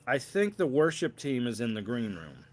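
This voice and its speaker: Guy doing a young church pastor, smooth and formal, kinda full of himself. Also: mid-range voice, sing-song, normal speed, close to an everyday voice. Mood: explaining. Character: young male associate pastor, polished, slightly self-important.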